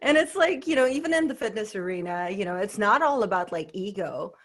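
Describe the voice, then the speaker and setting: A woman's voice: mid-range voice, expressive, a little fast, fairly bright tone. Outspoken woman. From Philippines. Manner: outspoken and emphatic, with many fillers like "you know" and "like". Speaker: podcast guest, fitness professional. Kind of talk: casual podcast conversation.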